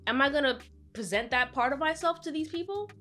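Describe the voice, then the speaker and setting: Woman in her twenties, vlog commentary, fairly high voice, emphatic, faint music underneath. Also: lively intonation, a little fast, fairly bright tone. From Brooklyn, New York, with Trinidadian roots. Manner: conversational, emphatic, acts out voices of others. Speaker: vlogger. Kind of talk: candid personal commentary to camera.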